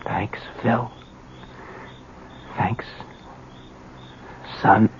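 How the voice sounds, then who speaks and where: An actor playing a rough, remorseful dying father in a 1970s radio drama, speaking halting, slangy tough-guy talk. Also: on the low side, fairly even intonation. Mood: weak, fading. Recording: dull, noisy 1970s radio recording.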